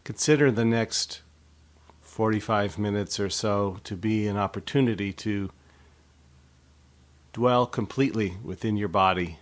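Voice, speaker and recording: A man leading a guided body scan meditation in a slow, soft, unhurried way. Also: on the low side.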